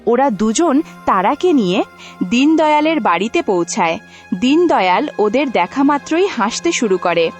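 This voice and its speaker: Female storybook narrator, highish and animated, music bed. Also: moderate pace.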